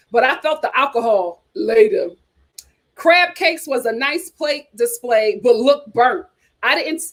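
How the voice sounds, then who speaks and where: Animated, high-pitched American woman. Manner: playful, teasing asides. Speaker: host of a reality TV chat show. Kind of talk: casual chat, reading reviews aloud with commentary.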